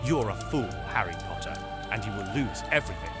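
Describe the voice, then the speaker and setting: Man acting a menacing dark wizard, music blaring. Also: fairly low pitch, expressive, brisk, natural voice. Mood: cold menace. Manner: taunting, threatening. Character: menacing dark wizard villain, cold and cruel. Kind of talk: fantasy film villain acting. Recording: voice under loud music.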